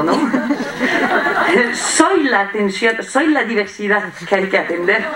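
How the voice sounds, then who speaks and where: A native French woman with a French accent gives an informal, humorous, self-deprecating talk as a teacher at a conference. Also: on the high side. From France. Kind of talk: informal conference presentation with anecdotes. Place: library lecture hall, indoors. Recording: roomy hall, distant microphone.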